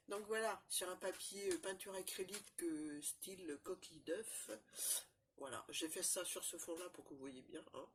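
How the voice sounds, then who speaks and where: A woman with a cold, chatting casually through a crafting demonstration, rambling and filling pauses often. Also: on the low side, a little hiss or hum. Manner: chatty, rambling, constant fillers and "voilà". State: has a cold, stuffy. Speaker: crafting channel host. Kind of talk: casual show-and-tell crafting demo.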